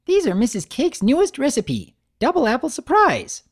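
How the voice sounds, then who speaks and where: A man's voice: extremely high pitch, huge pitch swings, moderate pace, voice pushed up, full character voice. A man voicing a bubbly, eager young female pony baker in a storybook reading. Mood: perky. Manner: perky, eager.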